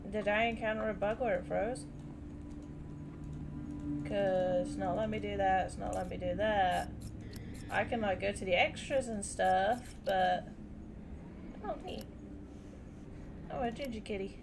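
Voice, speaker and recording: A woman's voice: medium pitch, measured pace, pauses often, over music. A woman giving informal let's play commentary, thinking aloud as she plays a video game. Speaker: gamer playing through a video game. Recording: clear background noise and game ambience.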